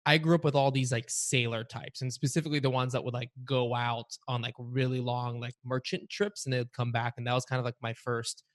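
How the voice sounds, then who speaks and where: A man's voice: mid-range voice, level tone, brisk, few pauses, no echo. Man asking rambling questions, dry sound. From Varna, Bulgaria. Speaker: interviewer. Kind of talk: casual interview questions.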